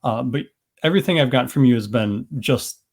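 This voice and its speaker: A man's voice: medium pitch, brisk. A man hosting a podcast interview, chatting in a friendly, rambling way with restarts. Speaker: podcast host. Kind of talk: casual interview chat.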